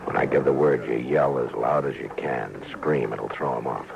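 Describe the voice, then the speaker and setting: An American man playing a stern, wary frontier marshal in an old-time radio western, speaking in a gruff, guarded, laconic way. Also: a bass voice, normal speed, a crowd in the background, exaggerated voice. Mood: urgent, commanding. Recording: muffled, noisy old radio broadcast recording.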